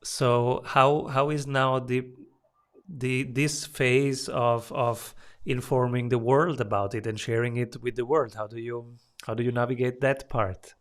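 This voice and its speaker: A man hosts a business interview at an unhurried pace. He asks open questions and answers with brief, encouraging words. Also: medium pitch, fairly even intonation. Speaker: podcast host and interviewer.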